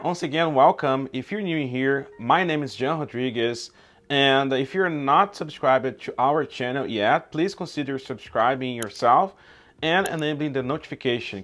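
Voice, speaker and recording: A man's voice: mid-range voice, a bit bright. A man from Brazil whose first language is Portuguese, hosting a YouTube channel and talking informally outdoors, often checking in with "okay?" and "right?". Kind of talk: casual outdoor vlog talk.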